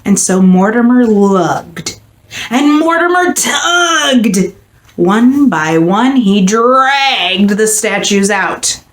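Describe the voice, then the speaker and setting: A woman reading a children's picture book aloud with expressive, dramatic and deliberate storytelling. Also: medium pitch. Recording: echoey room, faint background noise.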